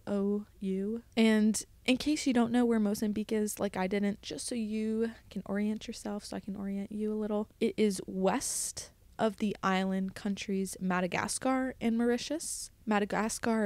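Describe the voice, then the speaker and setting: A young woman tells stories as if on a casual podcast, chatting and explaining as she goes, often slipping in little asides. Her medium-pitched voice sits in a dry, echo-free recording. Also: steady tone, normal speed, hardly any pauses, dark tone. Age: young adult. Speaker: podcast host, college student studying at sea. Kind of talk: casual solo podcast storytelling.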